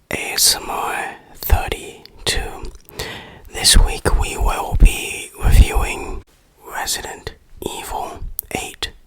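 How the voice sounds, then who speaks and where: An ASMR game reviewer speaks entirely in a whisper, with a bright, crisp edge to the breath. The delivery is very slow and deliberate, with a playful touch.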